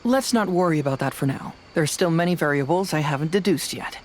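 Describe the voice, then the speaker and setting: A man voicing a youthful, analytical detective in a video game, composed and deliberate as he reasons aloud. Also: fairly high pitch, slight background noise, lightly acted.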